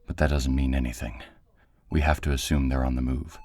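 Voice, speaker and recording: A man playing a steady, authoritative director in an audio roleplay, measured and businesslike with dry care. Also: very deep voice. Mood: businesslike, urgent. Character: steady, authoritative male director planning a raid, dryly caring. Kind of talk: audio roleplay drama acting.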